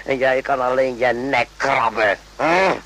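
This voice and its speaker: An actor playing a menacing, paranoid military officer in a radio play, with a husky voice and a mocking, needling manner. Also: male voice, mid-range voice, measured pace, a bit bright. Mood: taunting. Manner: mocking, needling, rhetorical questions.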